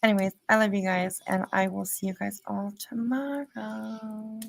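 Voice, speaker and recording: A woman's voice: medium pitch, little pitch movement, fairly quick, vocal fry. A woman hosting a casual livestream, chatting in a rambling, affectionate way to her viewers. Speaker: livestream host.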